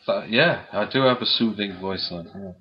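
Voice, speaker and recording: A man's voice: on the low side, normal speed. A man in casual chat on a stream, colloquial and given to swearing. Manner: swearing, laughing, colloquial British slang. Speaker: stream co-host. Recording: dull lo-fi sound.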